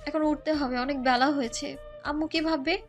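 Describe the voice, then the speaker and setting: A woman plays a young bride in a romantic audio drama. Her voice is high, soft and bashful, with a flustered hesitance and a gentle streak of defiance. Faint music plays behind her. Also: steady tone, normal speed. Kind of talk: romantic audio story acting.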